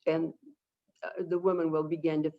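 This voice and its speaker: A woman who is an avian behavior expert, explaining things calmly and gently as a webinar guest and repeating phrases for emphasis. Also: low voice, normal speed. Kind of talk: casual explanatory talk. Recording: dull lo-fi webinar audio.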